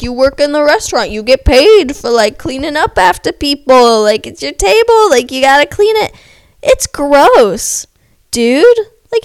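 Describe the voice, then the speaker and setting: A woman's voice: sits high, smooth, dry recording. Stuffy-voiced young woman ranting on a podcast. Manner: animated, sarcastic, heavy use of "like". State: stuffy, losing her voice. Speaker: podcast host. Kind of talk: casual ranting podcast monologue.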